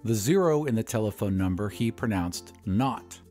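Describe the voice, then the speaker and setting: A man narrating an audio drama in a measured, expressive way. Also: fairly low pitch, faint music behind.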